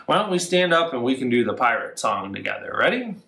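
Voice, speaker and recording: A man in his thirties leading a children's storytime in an expressive, encouraging way, recorded a few feet from the camera in a living room. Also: medium pitch, fast talker, creaky. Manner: expressive, encouraging to young listeners. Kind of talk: children's storytime reading, songs and action rhymes. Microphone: camera a few feet away. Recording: roomy living-room echo, camera mic.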